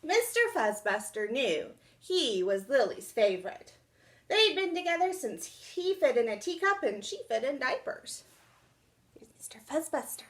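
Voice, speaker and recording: A young woman reading a children's storybook aloud indoors in an expressive, dramatic storytime style. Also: high voice, measured pace. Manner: animated, dramatic. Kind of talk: expressive picture-book read-aloud. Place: small indoor room.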